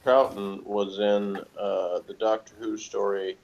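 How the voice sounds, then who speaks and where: A man's voice: on the low side, little pitch movement, a little slow, darkish tone. A man co-hosting a review in casual chat, with a hesitant, rambling way of talking. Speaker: review show co-host. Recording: dull, lo-fi sound.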